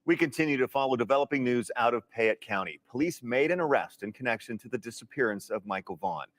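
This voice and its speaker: A man's voice: mid-range voice, lively intonation, fast. An American man reading the news as a television anchor.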